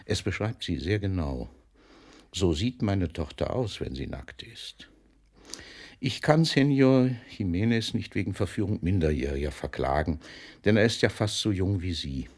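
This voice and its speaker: An actor playing an older, famous poet in a German radio drama, declaiming with mockery and fatherly authority, swinging between booming and hushed delivery. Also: expressive, normal speed, slightly rough. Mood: mock-indignant. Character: older famous poet, theatrical, mocking, fatherly toward a young postman.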